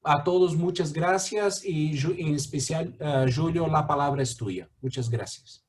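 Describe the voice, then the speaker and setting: Male moderator, Brazilian accent, slightly high voice, halting delivery full of fillers, video call. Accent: Brazilian Portuguese. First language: Portuguese. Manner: halting, many fillers and restarts. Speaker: mediator. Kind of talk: introducing a guest speaker.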